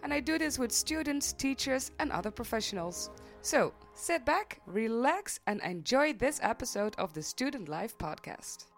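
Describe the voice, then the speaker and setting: A woman's voice: on the high side, sing-song, moderate pace, faint music behind. A woman hosting a conversational podcast interview as a student life coach. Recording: reverberant hall-like room sound.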